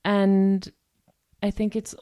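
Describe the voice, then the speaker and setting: A woman's voice: medium pitch, monotone, slow pace, mellow, clear, resonant tone. A woman co-hosting a discussion podcast, talking in long, thoughtful stretches full of "um" and "you know". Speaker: podcast co-host.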